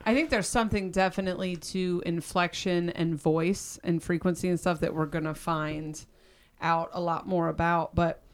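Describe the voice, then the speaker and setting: A woman with a raspy, deep voice that cuts out in spots, chatting loosely and candidly as a podcast host. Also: steady tone, moderate pace. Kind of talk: casual chat.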